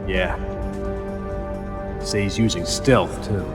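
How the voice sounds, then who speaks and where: A man's voice: low voice, fairly even intonation, takes it slowly, in a large hall, loud music behind the voice. An actor playing a brusque male enemy guard in a video game, giving terse, matter-of-fact orders. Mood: brusque, wary.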